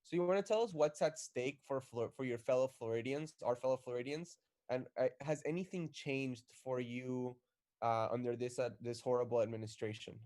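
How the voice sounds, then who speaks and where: A man co-hosts an activist live stream with a rallying, energetic delivery. His voice is mid-pitched and warm, with a mellow edge. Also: moderate pace.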